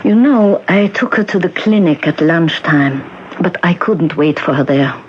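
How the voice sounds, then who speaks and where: A woman's voice: on the low side, unhurried, natural voice. An actress in an old radio drama playing a kindly, bustling middle-aged woman shopkeeper who talks in a homely, emphatic way. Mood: chatty, earnest. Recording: muffled, hissy 1970s radio broadcast recording.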